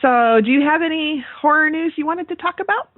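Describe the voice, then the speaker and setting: A woman's voice: high-pitched, brisk, no echo. A woman co-hosting a podcast, chatting casually about horror movies in an emphatic, exclamatory way, with casual swearing. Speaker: podcast co-host. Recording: narrow phone-like call audio, dry.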